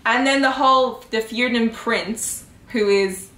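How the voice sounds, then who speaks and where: A young adult woman chatting casually about books in an expressive, informal way. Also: fairly high pitch, a little slow. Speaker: book vlogger. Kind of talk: casual chat. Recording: echoey room, audible background noise.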